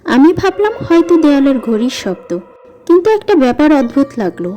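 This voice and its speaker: Female horror narrator: high, mellow tone, suspenseful scripted delivery. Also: big pitch swings, quick pace, slight background noise. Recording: music behind the voice. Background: background music.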